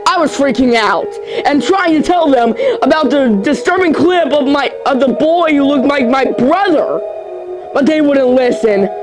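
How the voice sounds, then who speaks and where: Amateur creepy-story reader, slow and halting, mid-range voice, frequent stumbles, prominent music underneath. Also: sits high, lively intonation. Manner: halting, stumbles over words and repeats phrases. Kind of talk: amateur horror story reading.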